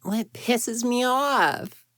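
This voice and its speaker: A woman's voice: on the high side, very slow, fairly bright tone, dry recording, studio quality. A woman voicing a grumpy, proud young female ghost in an intimate roleplay audio drama, huffy and easily flustered. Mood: indignant, incredulous. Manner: huffy, indignant, flustered stammers, conversational.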